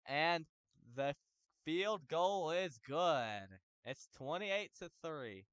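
A young man calling plays in an online football game, shouting touchdowns and then laying down the rules to the players. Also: a little high, animated, drawn-out words, clean tone, no room sound, dull audio. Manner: hype announcer yelling touchdowns, then bossy about rules. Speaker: game commentator and league host. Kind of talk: excited play-by-play commentary.

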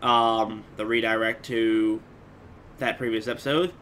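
A young man with a bright, forward voice hosts a reaction video in a casual style. He rambles and hesitates through his thoughts. The home recording has clear background noise. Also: on the low side, fairly even intonation, a little slow. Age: 20s. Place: small room at home. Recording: noisy home webcam recording.

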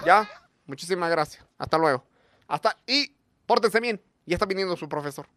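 A man's voice: high voice, big pitch swings, fairly quick, fairly bright tone, slight background noise, exaggerated voice. A man playing an exasperated deputy school director, scolding a class in a crude, repetitive way in a comic radio sketch. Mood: stern.

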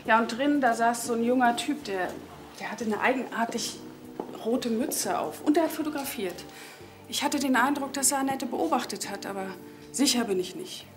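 A woman's voice: fairly high pitch, normal speed, over music, close to an everyday voice. A woman playing a careful, hesitant office employee giving testimony in a crime drama. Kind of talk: German TV crime drama acting.

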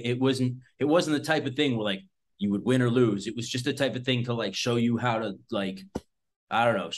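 A man of thirty-six, a self-defense instructor, talking casually and bluntly to camera, swearing often and rambling with lots of fillers. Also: medium pitch. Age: 30s. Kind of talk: casual unscripted talk to camera. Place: small indoor room in front of a backdrop.

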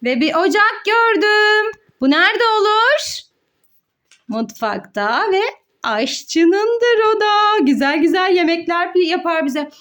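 A woman's voice: very high-pitched, lively intonation, takes it slowly, a bit bright. A mother hosting a children's learning game, speaking in a sing-song, exclaiming way as she asks questions and answers them. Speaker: mother hosting a children's educational play video. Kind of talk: child-directed play narration with questions and answers.

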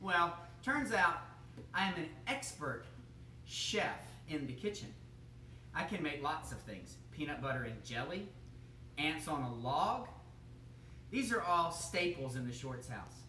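A man's voice: on the high side, animated, a little slow, bright, forceful tone, slight background noise. A young man playing a goofy, bumbling male TV chef who rambles, chuckles and fumbles in a home kitchen. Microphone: camera across the room. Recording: echoey room, mic from far off.

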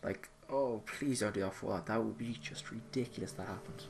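A man's voice: fairly low pitch, a little fast. A man giving live gameplay commentary, rambling and thinking aloud as he plays. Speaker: gaming YouTuber, player. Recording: clearly noisy, game sounds behind. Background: video game ambience.

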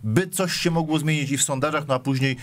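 A man's voice: a little high, fast talker. A man in his thirties hosting a political TV debate, pressing guests with pointed, challenging questions. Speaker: TV host, moderator. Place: TV studio.

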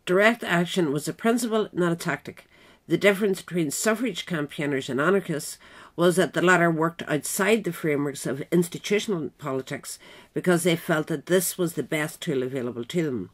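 A woman narrator reading a scripted political essay in a measured, written style. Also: fairly low pitch.

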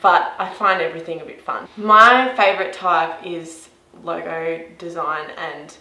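Bright young Australian woman, echoey room. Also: lowish voice, fairly even intonation, unhurried. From rural Victoria, Australia. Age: 20s. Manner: chatty, cheerful, informal. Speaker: interviewee, graphic designer. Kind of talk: casual on-camera interview. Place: small office indoors, echoey.

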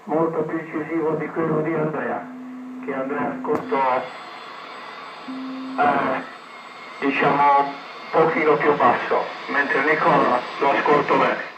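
A man's voice: on the high side, steady tone, unhurried, pauses often, muffled recording, over music. A man talking as an amateur radio operator, giving call signs, heard over a shortwave radio. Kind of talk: ham radio exchange with spelled call signs. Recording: very noisy echoey radio, distant.

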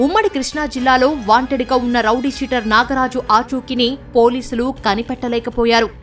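A woman's voice: high-pitched, recorded from a distance. A woman reads scripted TV news narration as a reporter.

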